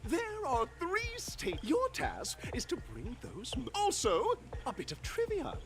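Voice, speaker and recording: An actress playing a bubbly, playful female game-show host in a video game, heard with loud background music. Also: sits high, wildly swooping pitch, a little slow, heavily acted. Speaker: challenge host. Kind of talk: studio game voice acting.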